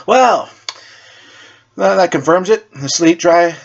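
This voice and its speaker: A man voicing an eager, proud preteen boy inventor with an excitable manner in a superhero story. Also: sits high, slow, muffled recording, pitched above the performer's own voice, heavily acted. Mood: excited, busy.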